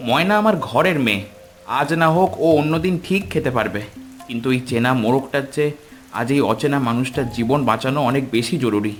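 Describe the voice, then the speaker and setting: Earnest cartoon village father, man voicing, ordinary mid voice, soft music underneath. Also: mid-range voice, close to an everyday voice. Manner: earnest, musing aloud. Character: poor kind-hearted village father, earnest. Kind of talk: cartoon voice acting.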